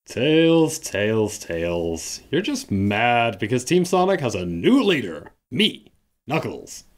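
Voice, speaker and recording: A man's voice: medium pitch, big pitch swings, slow pace. A self-important male echidna who talks theatrically and crowns himself leader, played by a man. His voice swings widely, giving every line a grand, showy lilt. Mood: pompous. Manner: theatrical, pompous, melodramatic. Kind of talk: fan audio drama acting.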